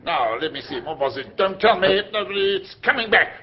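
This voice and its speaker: A man's voice: high voice, animated, normal speed. An actor playing a pompous, blustering elderly male magistrate in a radio drama. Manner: pompous, blustering, self-satisfied. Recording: dull lo-fi audio.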